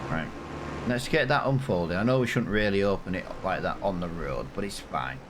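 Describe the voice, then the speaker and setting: A man's voice: low-pitched, animated, normal speed, noisy background. An English man in his thirties, rambling and self-mocking as he gives casual commentary over a farming game. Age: 30s. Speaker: gaming channel host. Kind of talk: casual let's-play commentary.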